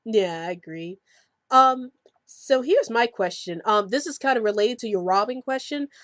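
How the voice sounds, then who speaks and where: A woman's voice: a little high, animated, normal speed, a bit bright, no echo, studio quality. A woman hosting a casual podcast discussion, speaking in a hesitant way with frequent fillers. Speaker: podcast host.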